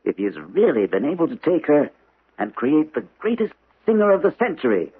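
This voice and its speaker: An actor playing a frank, skeptical, worldly gentleman in a 1970s radio drama, emphatic and declamatory in his delivery. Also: on the high side, sing-song, brisk, over loud music. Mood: eager. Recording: noisy muffled vintage radio broadcast.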